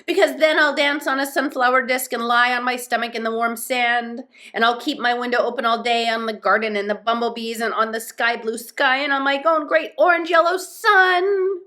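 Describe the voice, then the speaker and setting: Storybook troll child, male, played by a woman: earnest, easily hurt, high and plaintive, prone to exclaiming. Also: fairly even intonation, normal speed, few pauses, bright, forceful tone. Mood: defiant, angry. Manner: plaintive, exclaiming, breathless phrasing.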